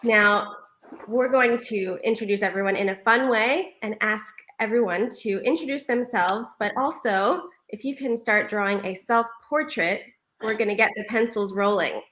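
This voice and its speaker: A woman's voice: medium pitch, normal speed, fairly bright tone, a little hiss or hum. A woman from New York City moderating a live online panel over a video call, upbeat and laughing often. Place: home living room.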